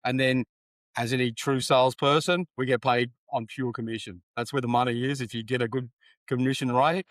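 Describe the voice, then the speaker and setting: This is an upbeat Australian man with a broad accent, speaking in a middle-pitched voice. He talks plainly and casually as an interview guest. The sound is close and dry, with no room echo. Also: fairly even intonation, fairly quick, fairly bright tone. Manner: upbeat, plainspoken, uses Aussie slang. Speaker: guest, sponsorship sales business owner.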